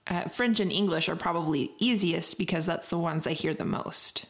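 A woman's voice: fairly low pitch, moderate pace. A young woman in casual chat, talking in a teasing, chatty way and laughing as she talks.

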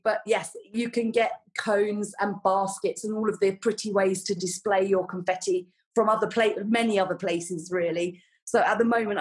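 A middle-aged woman talks easily as an interview guest, warm and rambling, with many ums and soft laughs. Her voice sits at an everyday middle pitch. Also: low-quality recording. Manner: chatty and warm, with frequent ums and light laughs. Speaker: podcast guest, flower farmer. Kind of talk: casual interview chat.

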